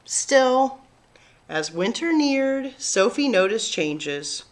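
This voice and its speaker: A woman reading a picture book aloud to children, narrating expressively with deliberate, dramatic phrasing. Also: on the high side, sing-song. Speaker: children's story-time reader.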